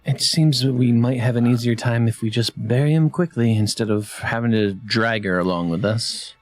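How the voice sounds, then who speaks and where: A man's voice: mid-range voice, fairly even intonation, normal speed, a little mellow, dry recording. A man playing a tabletop game, speaking bluntly and matter-of-factly in character. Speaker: player.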